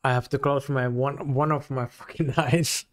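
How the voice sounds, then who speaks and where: A man's voice: medium pitch, fairly even intonation, moderate pace. A drunk man with a Finnish accent, a streamer giving crude, joking reaction commentary. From Finland. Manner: crude, swearing, joking, laughing.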